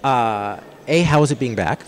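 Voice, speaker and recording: Lively-voiced male interviewer. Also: medium pitch, moderate pace. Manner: friendly, encouraging questions. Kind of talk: casual interview. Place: conference hall lounge, indoors. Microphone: handheld mic.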